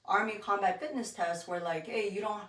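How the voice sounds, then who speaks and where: A woman's voice: mid-range voice, moderate pace, a bit bright. Candid woman in a roomy, distant recording. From Philippines and Guam. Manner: candid, informal. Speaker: podcast guest. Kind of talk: casual personal conversation. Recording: roomy echo, distant from the mic.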